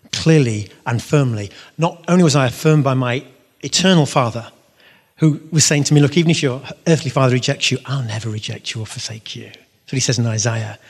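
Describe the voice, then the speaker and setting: A man giving an animated faith testimony to an audience, telling his story with bursts of loud emphasis. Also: mid-range voice, husky. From half Syrian. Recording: long reverb of a hall.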